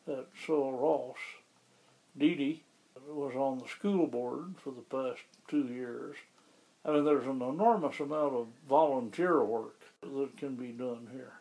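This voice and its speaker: A man being interviewed about the town where he lives, explaining things in a measured, conversational way. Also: medium pitch, darkish tone, low-quality recording. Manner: measured, explanatory, with occasional restarts. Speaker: interviewee, local resident.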